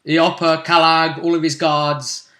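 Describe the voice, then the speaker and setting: The bright-voiced man at the head of a gaming table who runs the adventure and afterwards hosts the casual recap show about it. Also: fairly high pitch, level tone, unhurried. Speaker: game master and show host. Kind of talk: casual recap chat about a tabletop role-playing session.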